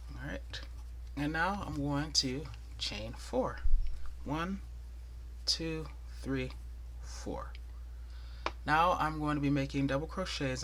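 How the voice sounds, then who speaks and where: Tutorial host, male, soothing voice, mid pitch, patient and chatty, counts stitches aloud. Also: pushed and bright, slight background noise. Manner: thorough, chatty, with light laughs and counting aloud. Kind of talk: casual step-by-step tutorial.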